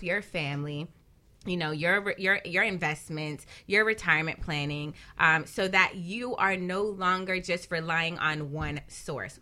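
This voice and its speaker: A woman's voice: lowish voice, bright, forceful tone. A woman co-hosting a conversational radio talk as a financial advisor, explaining things with rhetorical questions.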